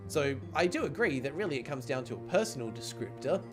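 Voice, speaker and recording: A man streaming a game, chatty and expressive as he comments and reads the text aloud. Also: medium pitch, quick pace. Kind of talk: livestream chat and read-aloud game commentary. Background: game music.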